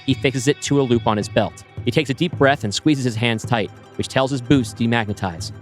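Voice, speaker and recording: A man reading a science fiction script aloud as narrator, in an even, descriptive manner. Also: mid-range voice, fairly quick, soft background music. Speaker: storyteller.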